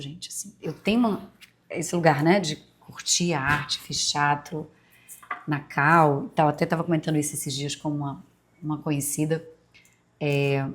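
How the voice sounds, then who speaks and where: A woman in her forties speaks in a low, unhurried register, her Bahian Brazilian accent softened by years in Rio. She hosts her podcast as a reflective monologue, wandering through memories with many asides and fillers, as if confiding in close listeners. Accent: Brazilian Portuguese, Bahian softened by years in Rio. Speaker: podcast host.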